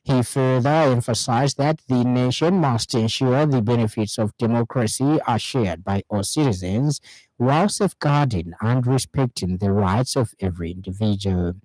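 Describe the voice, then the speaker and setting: A man with a Zambian accent reads the evening radio news from a script. His delivery is formal and measured, at an ordinary male pitch. The sound is dry and close, as in a studio. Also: a little mellow, no echo, rough recording. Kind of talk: scripted news bulletin reading.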